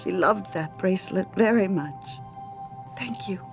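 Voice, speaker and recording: A woman playing a gentle, sorrowful bereaved older woman in a video game, speaking softly. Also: medium pitch, unhurried, creaky, soft background music. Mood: sorrowful, grateful.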